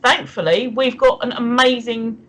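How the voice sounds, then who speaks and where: Woman in her fifties, slightly high voice, chatty, laughs easily, livestream co-host. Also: measured pace. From England. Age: 50s. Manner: chatty, laughs easily, reads viewer comments. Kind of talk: casual chat.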